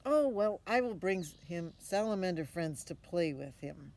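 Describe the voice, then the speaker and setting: A woman's voice: on the low side, lively intonation, a little slow, darkish tone. An older woman voicing an eager, confident young boy in a storybook, earnest and emphatic, recorded outdoors. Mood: eager, assured. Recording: outdoor ambience with noticeable background noise.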